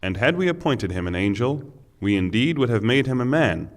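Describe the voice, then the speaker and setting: A man reading a scripture translation aloud as narrator, in solemn, measured phrases. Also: medium pitch, very animated.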